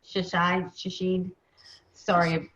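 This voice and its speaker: Woman, lowish voice, instructive advice, muffled call audio. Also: monotone, takes it slowly, fairly bright tone. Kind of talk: explanatory advice to students.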